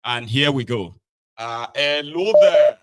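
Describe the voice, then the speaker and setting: A man's voice: medium pitch, slow, crowd noise. Nigerian man hosting a phone-in show. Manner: relaxed, mixes Pidgin and Yoruba with English. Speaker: host. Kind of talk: radio phone-in talk show.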